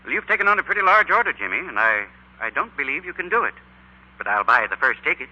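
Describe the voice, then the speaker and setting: An actor playing a measured, formal, kindly but skeptical older principal in a 1940s radio drama. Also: male voice, a little high, very animated, sharp tone, exaggerated voice. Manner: deliberate, formal, avuncular. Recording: muffled 1940s radio recording.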